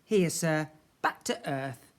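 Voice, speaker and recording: Man reading as a courteous, higher-voiced storybook shopkeeper. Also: lively intonation, slow pace. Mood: reassuring. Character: mysterious, polite male shopkeeper giving calm advice. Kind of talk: children's storybook character voice.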